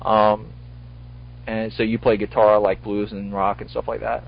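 A man's voice: lowish voice, little pitch movement, measured pace, creaky. A man hosting a casual call-in radio show, rambling and full-voiced, with many restarts. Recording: lo-fi radio stream with faint hiss.

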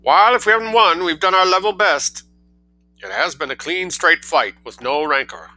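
A man's voice: fairly high pitch, moderate pace, pushed and bright, pitched above the performer's own voice, poor audio. A man doing a hearty New England campaigner. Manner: hearty, gracious.

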